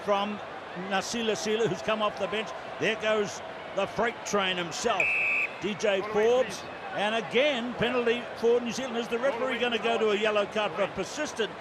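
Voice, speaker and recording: Man calling rugby over loud stadium noise. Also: high voice, steady tone. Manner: brisk play-by-play, calling names as the action unfolds. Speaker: play-by-play commentator. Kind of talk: live rugby sevens commentary.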